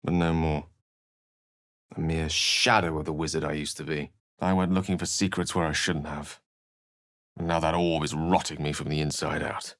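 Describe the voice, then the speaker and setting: An actor playing a courteous, bookish human wizard with a melancholy streak, speaking articulate, theatrical lines for a video game. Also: male voice, extremely low pitch, little pitch movement, moderate pace, a bit bright. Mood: regretful, confiding.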